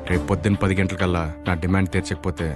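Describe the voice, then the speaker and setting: A man's voice: low-pitched, flat delivery, racing, natural voice. An actor playing a resolute young male kidnapper with ideals in film dialogue, speaking in a firm, articulate way over music. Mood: cold, threatening. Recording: film soundtrack with background music. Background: film score music.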